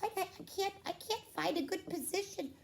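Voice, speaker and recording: Woman as a dramatic high-voiced puppet princess. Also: a little fast, vocal fry. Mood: exasperated. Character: young princess puppet, dramatic, fussy, frank. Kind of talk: children's puppet show.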